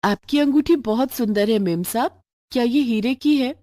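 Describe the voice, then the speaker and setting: A woman's voice: fairly high pitch, normal speed, mellow, dry recording, studio quality, close to an everyday voice. A woman voicing a poor housemaid and mother in a moral storybook tale, humble, hesitant and deferential. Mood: polite pleading.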